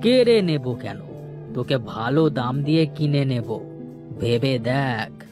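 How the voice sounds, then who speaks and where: A man acts out a greedy village headman from a cartoon. He speaks slowly in a menacing, condescending way, bullying whoever he addresses, over faint music. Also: medium pitch, animated. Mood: smugly threatening. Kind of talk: cartoon character acting.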